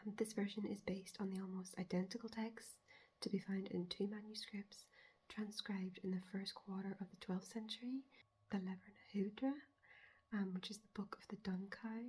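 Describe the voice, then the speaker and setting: A woman whispering in a hushed, measured, breathy voice as an ASMR host explaining folklore. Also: mid-range voice, steady tone.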